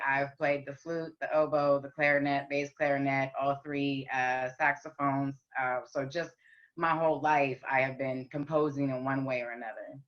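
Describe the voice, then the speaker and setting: A woman with a deep, raspy voice, talking candidly as a podcast guest with frequent ums and restarts. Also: highs cut off. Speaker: podcast guest, rapper and singer. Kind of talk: casual interview chat.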